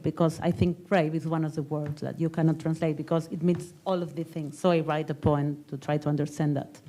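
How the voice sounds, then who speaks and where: Mellow, low-voiced woman poet. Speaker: panelist poet. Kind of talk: poetry reading and panel talk.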